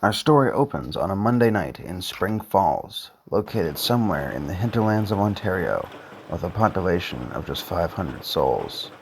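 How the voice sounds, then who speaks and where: A man's voice: deep voice, very animated, moderate pace, vocal fry, breathy edge. A man reading scripted storytelling narration for an audio drama as its narrator.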